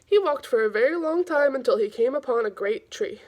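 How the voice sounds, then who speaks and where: Female storybook reader for kids, fairly high voice, informal and expressive delivery. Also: brisk. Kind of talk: children's read-aloud, informal and expressive.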